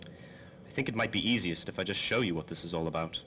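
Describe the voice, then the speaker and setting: A man acts a self-important doctor of science from a 1930s radio serial. His low voice has a sharp, forceful edge, and he rattles off formal, pedantic phrases quickly. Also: lo-fi sound, slight background noise. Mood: persuasive. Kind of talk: 1930s old-time radio drama acting.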